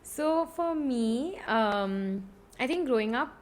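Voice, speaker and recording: Lively Indian woman telling stories. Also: fairly high pitch, slow pace. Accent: Indian English. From Bombay, India. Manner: lively storyteller, speeds up in bursts. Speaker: podcast co-host. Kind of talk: casual chat.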